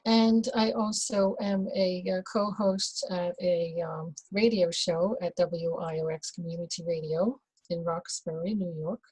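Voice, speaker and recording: A woman from the Netherlands, a doula, talking as an interview guest in a slow, hesitant way with many fillers and restarts. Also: lowish voice, steady tone, a little mellow, breathy edge, no echo, low-quality recording. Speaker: guest, birth and rebirth doula. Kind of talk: casual conversational interview.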